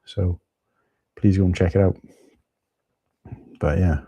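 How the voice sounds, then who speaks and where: Husky, low-voiced man chatting on stream. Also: fairly even intonation, takes it slowly, mellow, dry recording, muffled recording. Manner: rambling, chatty, many fillers and restarts. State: husky from tiredness. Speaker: live streamer. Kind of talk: casual live stream chat.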